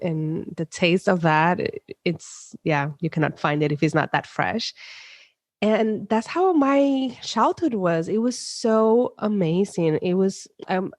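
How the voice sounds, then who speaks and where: A woman's voice: lowish voice, dry recording, clean studio sound. A woman from Puerto Rico with a Puerto Rican accent, a psychologist telling stories in a casual interview with many fillers and restarts. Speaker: psychologist guest.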